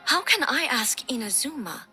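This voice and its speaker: A woman playing a solemn, dignified immortal ruler in a video game, speaking in a formal, measured, thoughtful way. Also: high voice, big pitch swings, bright, forceful tone, soft background music, exaggerated voice. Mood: reflective.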